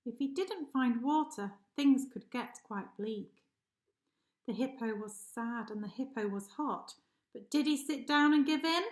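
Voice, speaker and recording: A woman's voice: a little high, unhurried, a little mellow. A woman reading a rhyming children's story aloud expressively, like a teacher addressing pupils. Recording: echoey room.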